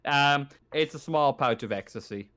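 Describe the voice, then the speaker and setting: A man narrating an improvised tabletop roleplaying game as game master, describing things in a casual, halting way. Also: mid-range voice, fairly bright tone, clean tone.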